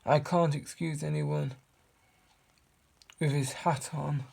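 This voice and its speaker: A man's voice: fairly high pitch, steady tone, slow, creaky, darkish tone, full character voice. A man voicing a pleasant, easygoing storybook executioner with a friendly manner. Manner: friendly, casual.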